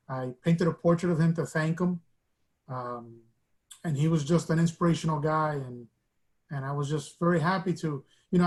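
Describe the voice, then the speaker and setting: Man from Miami, interview guest, fairly high voice, reflective storyteller who restarts his sentences. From Miami, United States. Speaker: guest artist.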